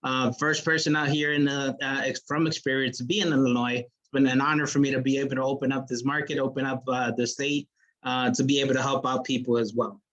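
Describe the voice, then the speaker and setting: A man's voice: medium pitch, flat delivery, a little fast, a bit bright, dull audio. A man as a sales manager giving an earnest motivational business presentation on a video call, with many fillers.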